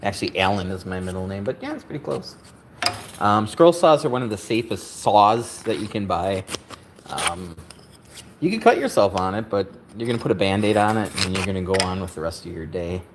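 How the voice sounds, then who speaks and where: A man's voice: fairly low pitch, animated, a little fast, noisy background. A man in his fifties hosting a woodworking livestream, chatting casually with a joking, self-deprecating, rambling manner. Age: 50s.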